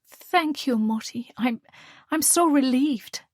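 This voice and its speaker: A woman's voice: sits high, slow pace, dry recording, clean studio sound, voice pushed up. A woman reading the part of a nervous, earnest young student daughter. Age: young adult. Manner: earnest, hesitant.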